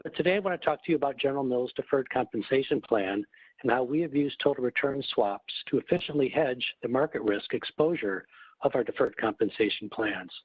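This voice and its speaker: A man giving a prepared, formal webinar presentation in a measured, explanatory manner, as a corporate executive. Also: medium pitch, animated, husky. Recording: muffled phone line, roomy echo.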